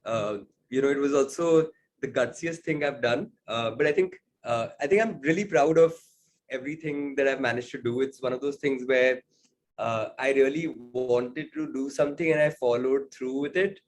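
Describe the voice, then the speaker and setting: A man's voice: mid-range voice, moderate pace. A man, a singer-songwriter answering interview questions in a reflective way, with many fillers.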